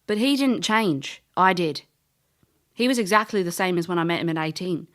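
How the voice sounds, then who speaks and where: A woman's voice: on the low side, normal speed, a bit bright, close to an everyday voice. An actress playing a world-weary female elf, a former thief, dry and blunt in an audio drama. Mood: downcast, regretful. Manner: dry, blunt, matter-of-fact.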